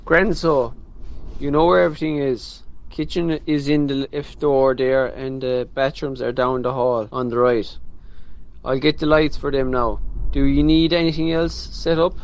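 A man's voice: medium pitch, fairly even intonation, measured pace, lo-fi sound, natural voice. A man playing a practical, easygoing Irish village hall caretaker in a radio drama. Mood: friendly, obliging. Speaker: actor in an amateur radio drama.

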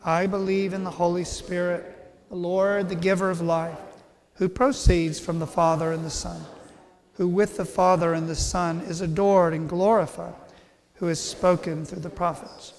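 A man serving as priest, leading the congregation's creed and prayers in a steady, measured recitation in a large church. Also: high voice. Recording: reverberant church hall.

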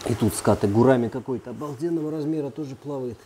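A man's voice: medium pitch, fast, mellow. A man giving casual, off-the-cuff commentary as a vlogger touring an indoor aquarium room. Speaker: vlogger filming a tour of private aquariums. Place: indoor room full of large fish tanks. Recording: faint water and room noise.

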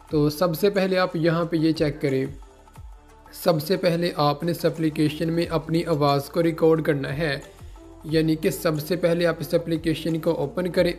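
A man's voice: fairly high pitch, darkish tone. A man giving an informal app tutorial voice-over, instructing the viewer directly step by step and repeating himself. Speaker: host of a tech tutorial channel.

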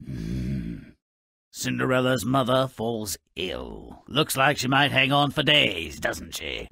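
An actor voicing a grotesque, sardonic dwarf who sneers through twisted fairy tales as a video game narrator, with a raspy, gravelly, theatrical delivery. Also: male voice, mid-range voice, bright, forceful tone, no room sound, studio quality, full character voice. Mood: sly, disdainful.

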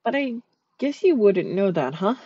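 Sweet, shy dream eater spirit, a woman voicing her, soft soothing ASMR delivery, dry close mic. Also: mid-range voice, sing-song, moderate pace, breathy edge, no echo, dull audio. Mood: gentle, soothing. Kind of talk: ASMR sleep-aid roleplay.

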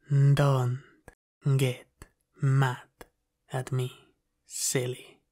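Man voicing a slow, soft, teasing male demon. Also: mid-range voice, completely flat delivery. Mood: menacing, playful. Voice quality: soft, breathy, often half-whispered. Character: flirty, dominant, teasing male demon from the underworld. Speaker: ASMR roleplay performer. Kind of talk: ASMR roleplay, soft and intimate.

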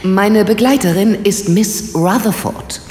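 A woman's voice: mid-range voice, measured pace, darkish tone, echoey room, in a large hall, a little hiss or hum, close to an everyday voice. A woman playing a polite, determined investigator in a German radio play, courteous and direct. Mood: courteous, probing.

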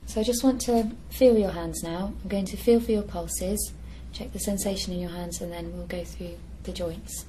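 A woman's voice: on the low side, fairly even intonation, normal speed, few pauses. Young female clinician, noisy room recording. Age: young adult. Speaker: clinician examining a patient. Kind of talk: step-by-step exam instructions.

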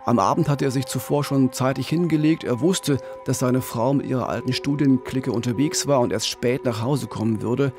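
A man's voice: mid-range voice, moderate pace, few pauses, music in the background. A middle-aged man hosting a true-crime podcast, telling the story conversationally into a studio microphone. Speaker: podcast host. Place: radio studio. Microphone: studio microphone up close with pop filter.

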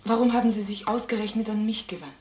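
A woman's voice: medium pitch, fast, a little mellow, close to an everyday voice. An actress playing a guarded single mother from a village, hesitant and wary, in a crime drama. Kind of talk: mid-century German crime film dialogue. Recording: noisy, echoing old film soundtrack.

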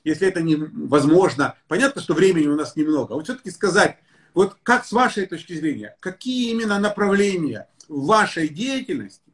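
A man in his sixties, a pediatrician hosting a live stream from his home study, talking in a warm, effusive, enthusiastic way. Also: fairly high pitch, animated, brisk. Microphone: webcam or phone on a video call.

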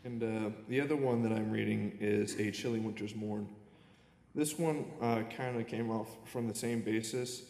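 A young man with a fairly low voice presents poems at a podium microphone. His manner is informal and a bit hesitant. The auditorium adds a long echo, with some faint background noise. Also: fairly even intonation, normal speed. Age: young adult. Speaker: poet reading own poems. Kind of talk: live poetry reading with informal introductions. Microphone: gooseneck podium microphone.

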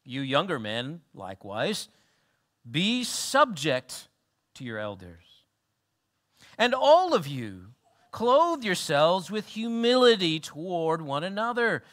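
Middle-aged New man, pastor at a church lectern; bright, slightly high voice, earnest sermon delivery with soft dips and emphatic peaks. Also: sing-song, slow. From New Zealand. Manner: earnest, swings between soft lows and emphatic rises. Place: church hall with wooden wall.

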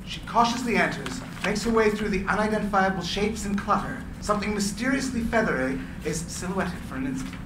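Gravelly male narrator for stage directions, echoey room, noisy. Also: high-pitched, brisk. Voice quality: gravelly from recording work. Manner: clear, expressive reading. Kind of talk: script reading narration. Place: meeting room with audience. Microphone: stand microphone. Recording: echoey room, background noise.

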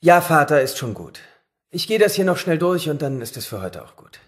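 A man's voice: a little high, sing-song, brisk. An actor playing a studious, preoccupied young man absorbed in research in an audio drama.

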